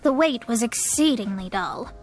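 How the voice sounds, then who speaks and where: A woman's voice: fairly high pitch, animated, moderate pace, soft background music, natural voice. A woman voicing a haughty, impatient young astrologer with formal, pompous phrasing in an anime-style game. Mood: dry, disdainful.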